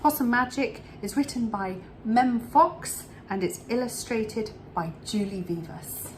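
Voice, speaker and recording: A woman reading a children's story aloud expressively, with dramatic pauses and drawn-out words. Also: mid-range voice, a little mellow, slight background noise, poor audio. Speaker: storybook reader for an English club.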